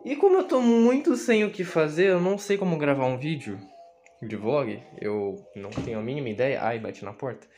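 A man's voice: mid-range voice, animated, brisk, darkish tone, soft background music. A young man with a Brazilian Portuguese accent, rambling casually and playfully in a self-deprecating way, as in an informal vlog. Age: young adult. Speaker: YouTuber. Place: small bedroom, indoors. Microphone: handheld camera or phone. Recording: noisy handheld camera audio with clicks.

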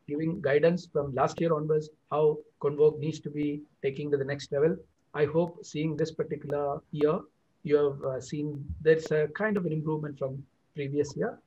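A man's voice: a little high, dark tone. Male host, middle-aged, upbeat moderator, lo-fi video call sound. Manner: upbeat announcing with fillers. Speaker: event host. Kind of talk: webinar moderating. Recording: dull video call audio.

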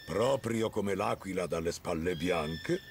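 A man playing a wise, kindly old bear who tells tales in a children's cartoon, with a gravelly, expressive voice. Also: low-pitched, takes it slowly, slight background noise, exaggerated voice. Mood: solemn storytelling. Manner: storytelling, expressive.

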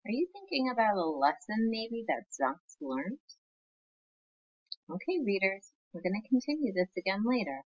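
A woman's voice: medium pitch, very animated, moderate pace, lo-fi sound. A woman teacher reading a picture book aloud to young children, patiently sounding out words.

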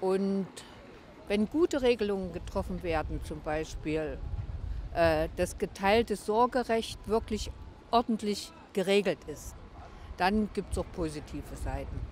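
A woman in her sixties answering a street interview outdoors in a city square, earnest and measured. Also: medium pitch, expressive, a little mellow, slight background noise. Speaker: passer-by interviewee.

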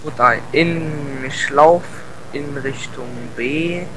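A man's voice: a little high, takes it slowly, crowd noise, poor audio. A man chatting casually as a co-player in a shooter game, laid-back and teasing, with a slight cold. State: slight cold, suppressing coughs. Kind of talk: casual gaming chat.

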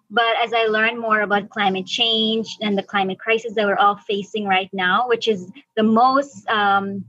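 A woman's voice: medium pitch, fairly bright tone, no room sound, dull audio. A young woman giving conversational answers as a podcast guest. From Guyana. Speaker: podcast guest, environmental activist.

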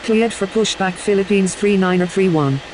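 A woman's voice: medium pitch, normal speed, close to an everyday voice. Noisy, hissy woman as aviation radio voice. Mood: businesslike. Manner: clipped, formulaic. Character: matter-of-fact airline radio voice in simulation game. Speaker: air traffic control and pilot radio voice. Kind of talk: scripted aviation radio phraseology. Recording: hissy, clearly noisy radio-style audio.

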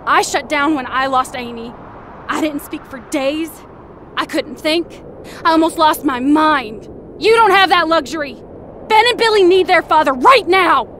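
Woman acting fierce high-voiced young woman. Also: unhurried, a bit bright, a little hiss or hum. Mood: earnest, pleading. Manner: impassioned, scolding. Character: fierce grieving young woman confronting a withdrawn father. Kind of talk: comic dub drama acting.

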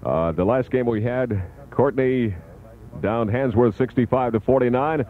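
A man's voice: mid-range voice, unhurried. A man working as a radio disc jockey in the 1970s top-40 style, with punchy, playful patter. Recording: lo-fi 1970s AM radio aircheck, narrow band. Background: loud music.

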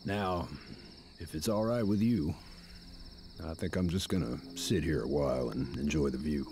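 An actor playing a weary, easygoing older trucker who drawls and teases gently. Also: male voice, rumbling low, normal speed, noisy background. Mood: teasing. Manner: drawling, laid-back, teasing.

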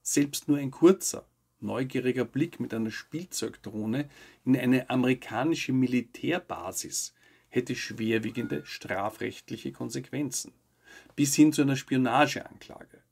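A man in his fifties from Austria hosting a weekly news show, giving scripted commentary to camera in measured, formal sentences. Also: mid-range voice, gravelly, darkish tone, husky.

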